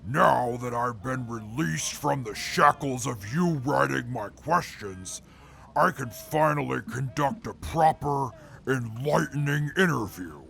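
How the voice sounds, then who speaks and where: A man playing a proud, bookish interviewer in an audio drama, speaking in a pompous, formal, measured way. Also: mid-range voice, expressive, vocal fry, a bit bright, heavily acted. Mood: haughty. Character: haughty, proud, bookish male radio interviewer with a temper.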